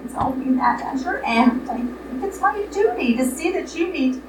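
A woman's voice: high-pitched, animated, moderate pace. A woman playing a proper, coaxing family matchmaker in an old-time radio play, pleading and a little fussy. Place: live radio play performance in a roomy space. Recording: echoey room, far mics, clear noise.

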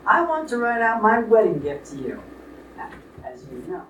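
An actor playing a brisk, bossy middle-aged father in a live radio comedy play. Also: high-pitched, wildly swooping pitch, fairly bright tone, exaggerated voice. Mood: pleased, gruffly forgiving. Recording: echoey, distant, noisy live stage recording.

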